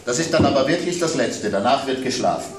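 A firm, patient father , put on by a male storyteller gives brisk orders, pitched a little above the narrator's own voice. He sounds distant in a roomy space with faint noise around. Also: expressive, natural voice. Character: stern but patient father at bedtime.

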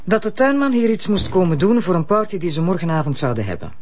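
A brisk, animated prosecutor. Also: female voice, on the low side, slight background noise. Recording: dull lo-fi radio play.

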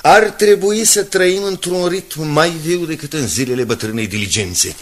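A man playing a measured newspaper colleague in a radio drama, dryly and articulately reading aloud. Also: a little high, lively intonation. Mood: measured, wry.

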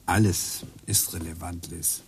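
A man's voice: deep voice, steady tone, a little slow, background noise. An actor playing a middle-aged husband in a radio play, earnest and eager as he lectures his wife about hidden connections. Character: obsessive husband hunting coincidences.

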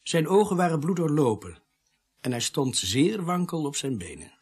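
A man's voice: a little high, sing-song, normal speed, a little mellow, slight background noise. An actor playing an observant, wry male narrator telling a detective story in a scripted radio play. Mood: wry, descriptive.

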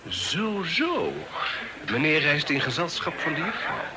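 An actor in a radio play voicing a forward, haughty gentleman, insinuating and stiffly polite. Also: on the high side, big pitch swings, a little slow. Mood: piqued, sarcastic. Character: forward, haughty gentleman with fine carriage, pressing company. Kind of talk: Dutch radio play acting. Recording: noisy old radio recording.

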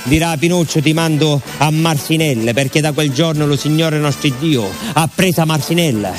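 A man's voice: on the high side, normal speed, pitched above the performer's own voice, lightly acted. A man playing a bitter Italian emigrant miner in a radio theatre monologue, incantatory and half-sung, with music behind him. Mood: anguished, cursing.

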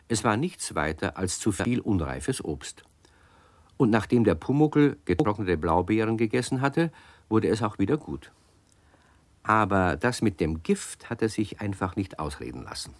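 A man's voice: on the low side, no echo. A man narrating a children's radio story, explaining events to young listeners and asking them questions.

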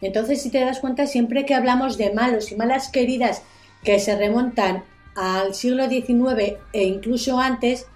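Higher-pitched woman presenting over soft music. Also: normal speed. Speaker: segment presenter on a radio show. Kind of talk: conversational storytelling.

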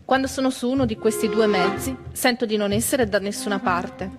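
A woman's voice: a little high, fairly quick. A woman performing a literary monologue as a reader in a theatre piece. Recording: voice over instrumental music.

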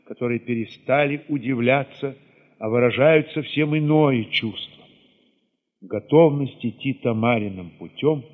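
A man with a husky voice reads a literary first-person story theatrically, moving between hushed passages and sudden swells. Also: medium pitch. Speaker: narrator reading an audio play. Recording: reverberant, slightly dull sound.

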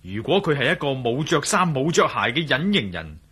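Man, daring cool-headed hero, bright animated voice, Hong Kong Cantonese, commanding, wry, radio play, faint music. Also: fairly high pitch, normal speed, slightly rough, exaggerated voice. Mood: calm, commanding. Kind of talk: radio drama acting.

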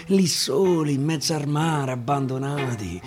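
Slow, high-voiced Roman storyteller man. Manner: expressive, swings from hushed murmurs to loud declaiming. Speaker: actor reciting a dialect narrative poem. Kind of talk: theatrical dialect monologue with guitar. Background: acoustic guitar music.

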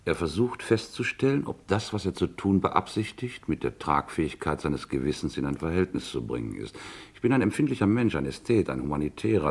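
Radio drama: pompous, scrupulous scoundrel, husky bass, lecturing in ornate phrases. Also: male voice, full character voice. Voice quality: gravelly, husky. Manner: grandiloquent, lecturing. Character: pompous, philosophizing rogue, self-styled aesthete with scruples. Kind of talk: German radio crime play acting.

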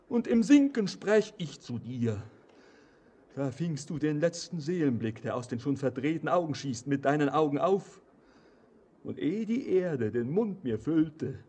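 An actor playing a haunted young stranger in a poetic radio play, declaiming in a lyrical, solemn and impassioned way with a husky voice. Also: male voice, fairly high pitch, warm tone, heavily acted. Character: haunted young wandering stranger, fervent and doom-laden.

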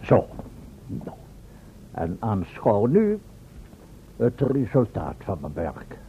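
An actor playing an eccentric, self-important professor and inventor in a Dutch radio serial, pompous and often hunting for words. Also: male voice, wildly swooping pitch, drawn-out words, exaggerated voice. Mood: proudly secretive. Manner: pompous, hesitant, searching for words. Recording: muffled phone-like old radio recording, rumbling noise.

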